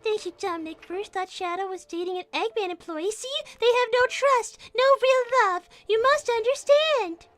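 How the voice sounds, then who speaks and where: Cartoon bunny girl, extremely high voice, prim and formal, excitable, easily indignant. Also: normal speed, hardly any pauses. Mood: insistent. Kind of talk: live in-character improv reading chat messages.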